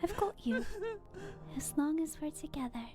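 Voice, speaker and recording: A woman voicing an innocent, reassuring young skeleton brother in an animated comic dub, speaking simply and earnestly. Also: high-pitched, moderate pace, music in the background. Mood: gentle comforting. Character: hopeful young skeleton brother, a comforting, innocent little boy.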